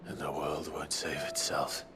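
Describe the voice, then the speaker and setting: A resolute, world-weary game hero, very deep and breathy. He speaks earnestly, in a subdued dramatic style. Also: flat delivery, measured pace, over loud music. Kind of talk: video game drama acting.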